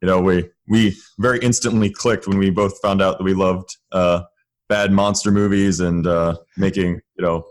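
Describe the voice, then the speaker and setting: A man from Florida chatting casually and haltingly as a podcast guest. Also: deep voice, fairly even intonation. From Tampa, Florida. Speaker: podcast guest, audio drama creator. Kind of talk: casual interview chat.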